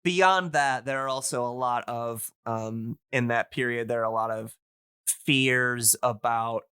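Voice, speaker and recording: A man in a casual explanatory podcast chat, talking in a hesitant, halting way as he thinks aloud. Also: mid-range voice, pushed and bright, clean tone, no echo, clean studio sound.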